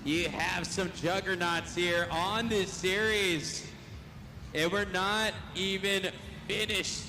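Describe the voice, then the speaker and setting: A man's voice: sits high, bright, forceful tone, slight background noise, low-quality recording. A male arena announcer losing his voice, calling a live monster truck event and pumping up the crowd. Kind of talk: live play-by-play commentary. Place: indoor arena.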